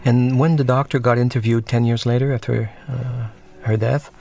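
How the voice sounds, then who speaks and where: A man, the film's director, talking through a commentary track and often pausing and restarting as he searches for words. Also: medium pitch, level tone, creaky, warm tone, soft background music. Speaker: film director.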